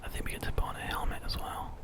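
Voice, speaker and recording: British guy whispering super close to the mic, breathy asmr gaming commentary, gentle and unhurried. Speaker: ASMR host and gamer. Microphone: very close microphone.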